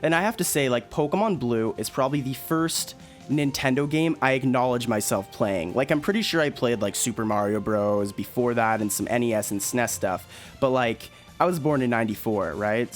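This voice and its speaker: A man aged 22 hosting a casual podcast chat, rambling and laid-back with lots of fillers. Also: medium pitch. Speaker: podcast host.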